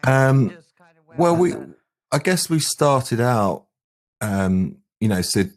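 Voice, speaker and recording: A man's voice: medium pitch, expressive, slow. An English man in his forties, a musician guest reminiscing casually in a hesitant, rambling way. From England. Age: 40s. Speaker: band frontman, interview guest.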